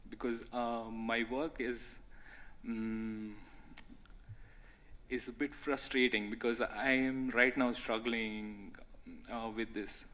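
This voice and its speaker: A young man speaks modestly on a panel, slow and unhurried. He hesitates often and fills his pauses. His pitch stays in a middle range. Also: fairly bright tone. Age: young adult. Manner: modest, hesitant, frequent fillers. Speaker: youth panelist. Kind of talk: conference panel talk.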